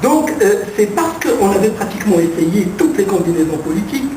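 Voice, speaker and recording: A man on an academic panel explains his view in a measured, lecture-like manner, posing rhetorical questions. His voice sounds distant and roomy, with clear noise in the background. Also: high voice, big pitch swings, dark tone. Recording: echoey room, distant mic, background noise.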